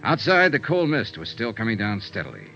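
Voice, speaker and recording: A man's voice: on the low side, huge pitch swings, a little fast, vocal fry, full character voice. A man playing a wry, hardboiled private investigator narrating his case in clipped 1950s radio drama style. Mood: somber. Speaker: narrator and lead detective. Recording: dull vintage radio recording with loud music.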